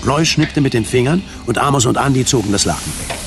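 A man narrating a scripted radio drama in a measured, precise storytelling manner. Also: medium pitch, expressive. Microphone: studio microphone. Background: music.

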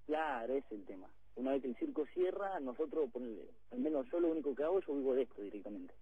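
A man's voice: mid-range voice, sing-song, fast talker, dry recording. A man in his twenties with a Rioplatense accent, a circus performer calling in by phone and speaking in a plain, matter-of-fact way. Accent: Rioplatense Spanish. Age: 20s. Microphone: telephone. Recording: phone line, husky.

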